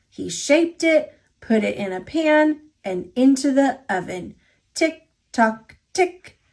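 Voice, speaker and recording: Slow, lively, high-voiced American woman storyteller. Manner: expressive, deliberate. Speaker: storybook reader. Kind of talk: read-aloud children's storytelling.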